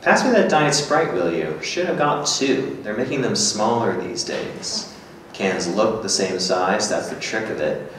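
A man in his thirties reading the part of a dry, blunt, sceptical companion in a staged script reading, deadpan and terse. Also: on the low side, measured pace, creaky, hardly any pauses, heavily acted. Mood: musing, dry. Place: small hard-walled gallery room, indoors. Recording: very echoey room, distant, faint noise.

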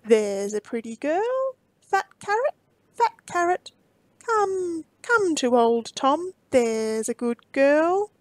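A woman voicing an old male farmer, crooning and excitable, with drawn-out coaxing calls in a storybook reading. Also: sits high, dry recording, full character voice.

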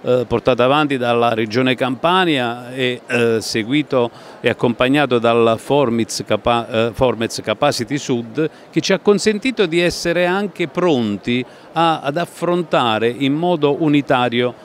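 A middle-aged man, a local official, answering an interview haltingly into a handheld microphone in an exhibition hall. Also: mid-range voice, a little hiss or hum.

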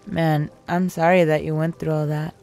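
A woman's voice: deep voice, level tone, normal speed, darkish tone, faint music behind. A young woman playing a casual, concerned college friend in an audio drama. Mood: sympathetic.

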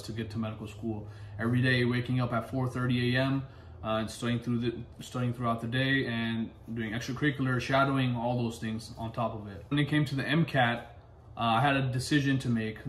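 A young man sitting at home, telling his own story to camera in a relaxed way with lots of fillers. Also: medium pitch, slight background noise. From Pakistan, raised in the United States. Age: young adult. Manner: relaxed, many fillers, gestures with hands while talking. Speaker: medical resident vlogger. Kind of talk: casual personal storytelling to camera. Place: living room at home, indoors. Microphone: camera in front, seated. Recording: echoey room.

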